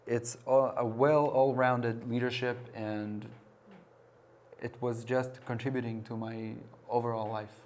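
A young man answering interview questions in a small TV studio, hesitant with restarts and pauses. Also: mid-range voice, flat delivery, darkish tone, slight background noise. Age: young adult. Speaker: interview guest.

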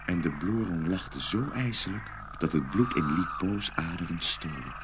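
A man narrating a dark fairy tale in a dramatic storytelling style. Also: sits low, expressive, unhurried, background noise.

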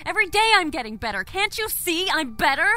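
Desperate captive with a bright, swooping voice. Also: female voice, very high voice, very animated, brisk, full character voice. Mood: pleading. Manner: emotional, pleading, defiant. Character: distressed, pleading female captive insisting she is human. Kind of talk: audio drama acting. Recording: music under the voice.

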